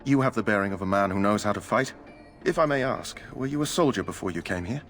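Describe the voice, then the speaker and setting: An actor playing an earnest, courteous knight in a fantasy game, speaking formally. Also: male voice, fairly low pitch, expressive, quick pace, fairly bright tone, soft background music. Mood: earnest, polite. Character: earnest, courteous knight eager to prove himself.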